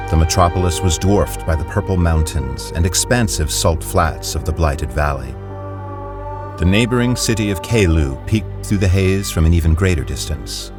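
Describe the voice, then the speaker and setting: A male narrator with a very low, deep voice reads scripted drama narration in a measured, descriptive manner. Music plays clearly underneath him.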